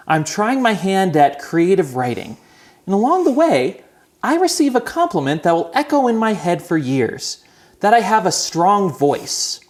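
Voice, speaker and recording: A man in his thirties hosting a podcast, reading a scripted opening and then chatting with guests in a wry, self-deprecating way. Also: fairly high pitch. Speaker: podcast host. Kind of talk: scripted intro, then casual chat.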